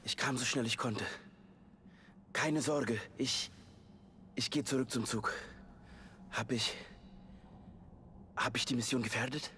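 Earnest, unhurried young ally. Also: male voice, mid-range voice, steady tone, pushed and bright, a little hiss or hum. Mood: earnest, apologetic. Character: earnest, anxious, polite young male ally. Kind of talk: dramatic game cutscene acting.

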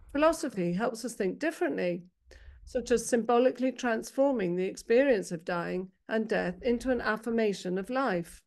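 A woman doctor speaking as a panelist, reading a prepared talk aloud in a measured, articulate, formal way. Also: on the high side, darkish tone.

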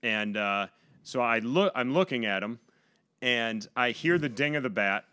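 Bright-voiced American man in a dry room. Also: medium pitch, lively intonation, a little slow, clear, resonant tone, no echo. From Buffalo, New York, USA. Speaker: podcast guest, stand-up comedian. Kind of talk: casual comic storytelling. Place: small home podcast room, indoors. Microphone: podcast boom microphone.

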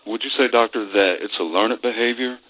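A man's voice: mid-range voice, brisk. A man hosting a radio interview, putting questions to a guest over a phone line. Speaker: radio show host. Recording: muffled, noisy, clicking radio phone line.

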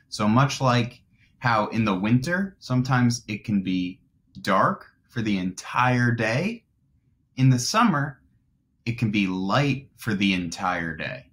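A man in his twenties, a teacher reading aloud to young children and explaining as he goes, deliberately stressing key words. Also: on the low side, fairly bright tone. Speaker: first grade teacher.

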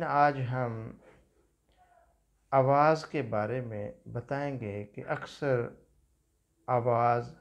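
A man in his fifties with a Pakistani Urdu accent, giving a calm, measured talk on home remedies from a small office. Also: medium pitch, highs cut off. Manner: measured, unhurried explanation with religious phrases. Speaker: herbal medicine practitioner. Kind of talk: calm instructional health talk. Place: small office seated at a desk.

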